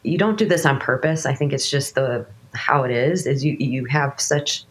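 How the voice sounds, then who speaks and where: A woman's voice: very deep voice, normal speed. Worship leader, late 30s woman, has a cold; loose, rambling conversational delivery. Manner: rambling, many restarts and fillers. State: fighting a cold. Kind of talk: casual conversation.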